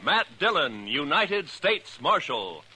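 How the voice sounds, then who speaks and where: Male announcer, 1950s radio, portentous, measured, dramatic, raised pitch, loud music behind. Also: very animated, rough recording. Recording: old radio recording under loud music.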